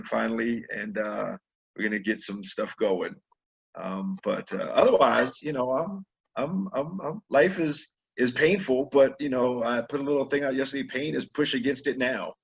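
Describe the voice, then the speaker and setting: Husky-voiced man host, rambling and stammering, on a dull lo-fi call. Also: mid-range voice, steady tone, moderate pace. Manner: rambling, stammering restarts, slangy. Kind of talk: casual interview chat. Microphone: webinar video call.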